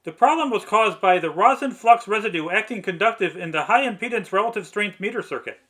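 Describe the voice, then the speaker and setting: A man's voice: high voice, expressive, fairly quick, sharp tone. A man narrating a scripted step-by-step restoration tutorial. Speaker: narrator, vintage radio restorer.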